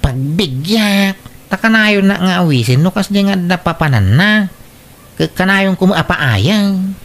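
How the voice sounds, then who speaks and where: A woman's voice: lowish voice, animated, a little slow. A woman playing a stubborn, lazy young son who gambles, whiny and defiant, in an Ilocano-accented radio drama. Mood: reluctant.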